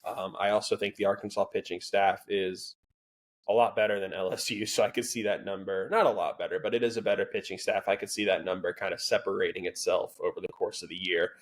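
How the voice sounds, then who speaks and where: A man co-hosting a casual college sports podcast, dry and sarcastic, with a self-described monotone delivery. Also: low-pitched, fast talker. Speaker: podcast co-host.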